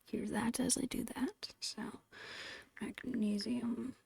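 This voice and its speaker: A woman whispering in a breathy, creaky voice, murmuring her way step by step through an ASMR art narration. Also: a little high, fairly even intonation, darkish tone. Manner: unhurried, murmured step-by-step musing. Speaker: host of an art ASMR channel.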